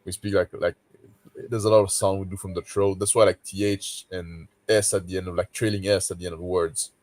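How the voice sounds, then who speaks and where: A man's voice: low voice, level tone, a little fast, a little mellow, no echo. A sleepy man with a nasal voice and a Quebec French accent, native French speaker, chatting casually over a laptop mic. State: sleepy, just woke up. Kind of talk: casual chat.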